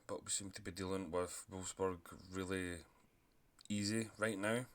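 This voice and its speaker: Male gaming commentator, deep voice, sore throat, relaxed chatty delivery with frequent throat-clearing. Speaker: gaming YouTuber. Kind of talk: casual commentary over a football management game.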